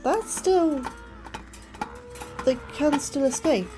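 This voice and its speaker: A woman's voice: high-pitched, drawn-out words, over music. Anxious, inquisitive woman scientist. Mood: anxious, curious. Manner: questioning, nervous, apologetic. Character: anxious, inquisitive, apologetic female astrobiologist. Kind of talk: audio drama acting.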